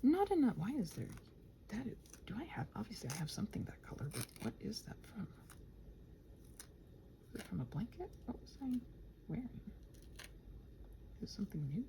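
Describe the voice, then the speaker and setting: A woman's voice: mid-range voice, wildly swooping pitch, moderate pace, vocal fry, pauses often, background noise. A woman rambling casually to her listeners, muttering to herself and slipping into whispers, with playful, sarcastic asides. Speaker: ASMR and audio channel creator. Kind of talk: casual rambling body-doubling chat to listeners.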